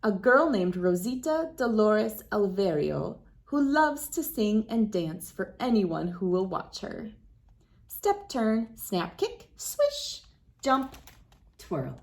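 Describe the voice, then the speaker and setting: A woman hosting a read-aloud, narrating for children with expressive, deliberate phrasing. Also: medium pitch, vocal fry.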